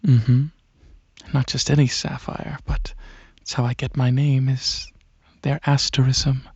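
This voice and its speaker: A courteous alien dragon prince, played by a man, talks softly in an ASMR roleplay, gently explaining with musing hesitations. The voice is husky and very gravelly, recorded very dry and close with a dulled top end. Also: medium pitch, level tone, measured pace, no room sound, highs cut off. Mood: soft, proud. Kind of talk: soft-spoken ASMR roleplay ramble.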